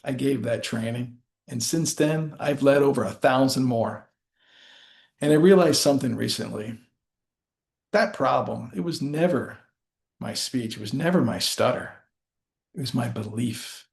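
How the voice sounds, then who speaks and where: A man giving a rehearsed motivational speech as a storyteller, speaking deliberately in short phrases with dramatic pauses. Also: medium pitch, creaky, a little mellow.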